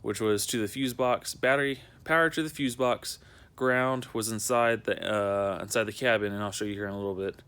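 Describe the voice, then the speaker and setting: A man's voice: on the low side, fairly even intonation, brisk, a bit bright, smooth. A young man talking in a casual, unscripted how-to vlog, rambling and repeating himself in a conversational way. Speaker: amateur video maker showing a car project.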